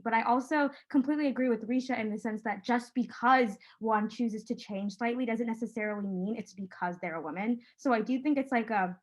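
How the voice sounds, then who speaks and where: A teenage girl with a high-pitched voice who moderates a casual panel discussion and talks in a chatty way with many fillers. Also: muffled recording. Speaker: student moderator.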